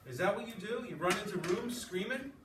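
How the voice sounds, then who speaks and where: An angry, domineering father from a storybook acted out by a male reader, shouting emphatically at a raised pitch. He sounds distant, in an echoey, noisy room. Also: expressive, a little fast. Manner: confrontational, emphatic. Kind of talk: read-aloud storytelling. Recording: echoey classroom, distant mic, background noise.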